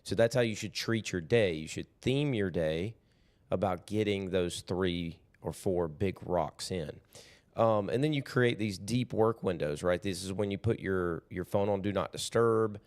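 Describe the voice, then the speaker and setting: A man hosting a podcast, talking casually with a hoarse, raspy voice and many fillers. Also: deep voice, unhurried, a little mellow. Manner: easygoing, rambling, many fillers. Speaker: podcast host. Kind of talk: casual motivational talk.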